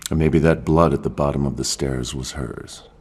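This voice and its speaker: Very deep, husky taunting suspect. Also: male voice, steady tone, moderate pace. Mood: taunting, coolly evasive. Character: cool, taunting male abduction suspect in the suburbs. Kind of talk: audio fiction acting.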